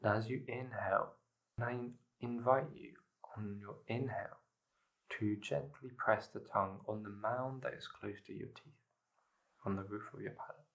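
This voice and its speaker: A man leading a guided meditation, giving measured instructions in short phrases with pauses between them. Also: lowish voice, fairly even intonation, fairly bright tone, rough recording.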